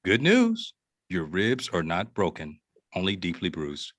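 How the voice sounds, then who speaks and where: Guy as calm sci-fi medic, lowish voice, swoopy intonation, close dry sound, table read. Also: measured pace, dry recording, exaggerated voice. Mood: upbeat. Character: calm, reassuring male medic in science fiction. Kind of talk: amateur script table read.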